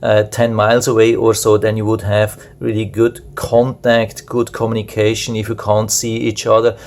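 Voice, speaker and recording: Guy doing a casual product review, pretty even tone, matter of fact, just explaining how stuff works. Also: lowish voice, normal speed, slight background noise. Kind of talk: casual unscripted product demonstration.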